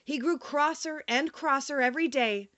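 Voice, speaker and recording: A woman narrating a children's storybook in an expressive, dramatic storytelling manner. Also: high-pitched, normal speed, dry recording. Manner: animated, storytelling delivery with dramatic emphasis. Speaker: audiobook narrator.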